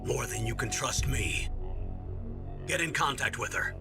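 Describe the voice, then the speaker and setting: Commanding military colonel, music behind. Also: male voice, medium pitch, expressive, a little slow. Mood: firm. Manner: commanding, matter-of-fact. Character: authoritative male military commander. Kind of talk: dramatic video game radio-call acting.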